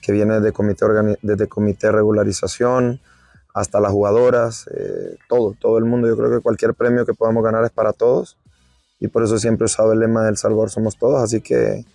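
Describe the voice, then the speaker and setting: A bearded man in his forties, a football coach interviewed outdoors on a pitch at night, answering modestly and halting, repeating words as he speaks. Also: creaky, mellow, no room sound, soft background music. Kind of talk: post-training interview.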